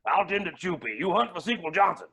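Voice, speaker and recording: Flamboyant evil rich villain for a play, menacing, gravelly and raspy, swings all over the place. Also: male voice, high-pitched, lively intonation, fast talker, heavily acted. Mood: breezy command. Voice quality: raspy, creaky. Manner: theatrical, grandiose, swinging from mutters to shouts. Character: flamboyant villainous male billionaire, menacing and unhinged. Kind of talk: staged play reading.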